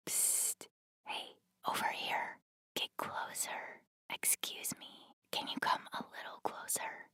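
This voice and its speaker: Sly, whispering little animatronic. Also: female voice, sharp tone, no room sound. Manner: urgent, insistent whispering. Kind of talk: whispered video game character lines.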